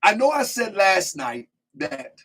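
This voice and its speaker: A man hosting a casual live call-in show, hoarse and losing his voice, rambling with stammering restarts. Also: high-pitched, very animated, unhurried, fairly bright tone. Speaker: host.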